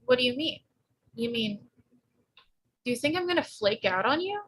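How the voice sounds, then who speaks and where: A woman's voice: fairly high pitch, lively intonation, normal speed, a bit bright, slightly rough. A woman playing a blunt, wisecracking pregnant teenage girl in a script table read. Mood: curious.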